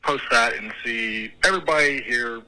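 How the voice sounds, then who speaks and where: A man's voice: mid-range voice, normal speed, pushed and bright. A man leaving a friendly, casual voicemail message as a caller to a show. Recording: noisy voicemail with clicks.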